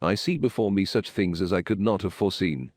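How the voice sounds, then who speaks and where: A grave, wise alien holy leader for a space game. His low voice is ceremonious and deliberate, in a close, dry recording. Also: a little mellow, no room sound. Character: dignified alien high priest, grave, wise and mystical.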